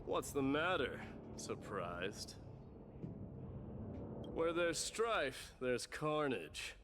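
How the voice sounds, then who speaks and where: An actor playing a grave, watchful warrior in a video game, speaking in a measured, understated and grim way. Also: male voice, mid-range voice, very animated, a bit bright, slight background noise, heavily acted. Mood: grim calm. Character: grave, stoic male warrior companion, watchful and terse. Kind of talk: dramatic video game voice acting.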